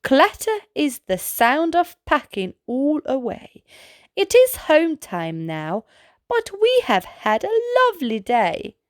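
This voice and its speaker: A woman reading a children's picture book aloud as a storytime host, in an expressive way with exclaimed sound-effect words. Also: sits high, no room sound, studio quality. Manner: slow, deliberate delivery for young children.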